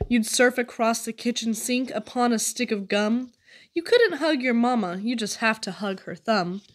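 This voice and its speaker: A woman giving an expressive reading of children's poetry. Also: on the high side, normal speed. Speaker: poetry reader.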